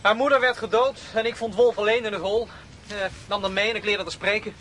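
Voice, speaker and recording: Hartelijke jongste broer, hoge stem. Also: male voice, sits high, steady tone, a little fast, a bit bright, a little hiss or hum. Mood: reassuring. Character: youngest travelling brother, friendly wolf tamer. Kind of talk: radio drama acting.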